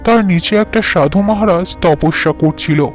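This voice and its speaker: Scheming, persuasive uncle for a fairy-tale cartoon, played by a man; slightly high voice over music. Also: a little slow. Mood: coaxing. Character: scheming uncle persuading his elder brother with tales.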